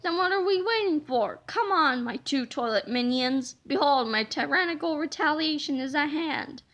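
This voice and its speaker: Storybook monster: huge mechanical toilet, menacing yet talkative, theatrical, high-pitched and sharp female reader. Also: lively intonation, moderate pace. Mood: eager, grandiose. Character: giant robotic toilet monster, male, menacing but chatty. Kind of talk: animated children's storybook read-aloud.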